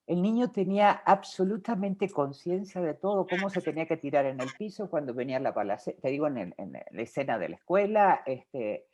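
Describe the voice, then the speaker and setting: A woman's voice: sits low, expressive, a little fast, hardly any pauses, warm tone. A woman from Uruguay with a Rioplatense Spanish accent, a film director answering interview questions in a reflective way, with many fillers and restarts.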